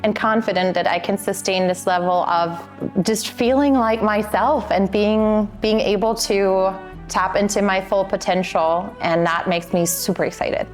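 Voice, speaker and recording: Woman, mid-pitch, upbeat testimonial, faint music under her. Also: steady tone, a little slow. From Germany. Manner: sincere, upbeat. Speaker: workshop participant. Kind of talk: testimonial interview.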